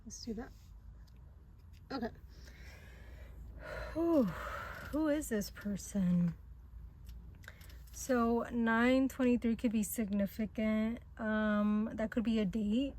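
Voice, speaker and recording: Gentle, higher-voiced tarot reader woman. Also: takes it slowly, long pauses. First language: not English. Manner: gentle, reassuring, hesitant with many fillers. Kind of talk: casual pick-a-pile tarot reading.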